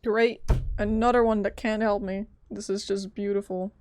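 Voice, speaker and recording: A woman's voice: mid-range voice, flat delivery, moderate pace, darkish tone. A woman game streamer giving casual live reactions, emotive and sympathetic.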